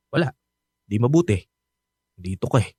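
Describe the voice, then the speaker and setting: Bitter, curt young son. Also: male voice, mid-range voice, dark tone, slightly rough, dry recording, studio quality, exaggerated voice. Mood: bitter, sarcastic. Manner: curt, sarcastic. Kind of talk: radio drama acting.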